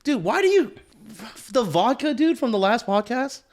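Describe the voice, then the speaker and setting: Casting: young Bay Area guy, animated pitch, crude jokey podcast host who swears a lot. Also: moderate pace. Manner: laughs a lot, swears freely. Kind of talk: casual crude banter.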